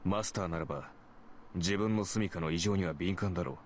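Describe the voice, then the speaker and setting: An actor playing a cool, sardonic warrior in a Japanese anime visual novel, dry and commanding. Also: male voice, low voice, rapid-fire, a little hiss or hum, natural voice. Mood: cool, chiding.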